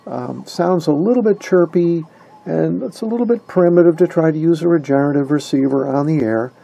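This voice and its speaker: A man giving a casual, halting, conversational explainer as an enthusiastic radio hobbyist. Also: fairly high pitch, gravelly, mellow. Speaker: amateur radio hobbyist presenter.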